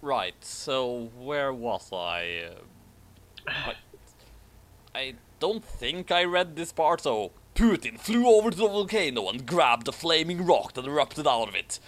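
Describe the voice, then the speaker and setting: A man's voice: mid-range voice, animated, unhurried, fairly bright tone. A man hosting a commentary, reading a fan fiction aloud in an amused, casual way. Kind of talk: dramatic read-aloud mixed with casual commentary.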